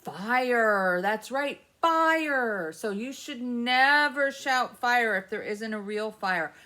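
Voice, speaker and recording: A woman's voice: fairly high pitch, lively intonation, slow pace, pushed and bright. An American woman hosting a children's story time, asking simple questions and patiently explaining the answers as she goes. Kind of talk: interactive read-aloud and teaching for young children.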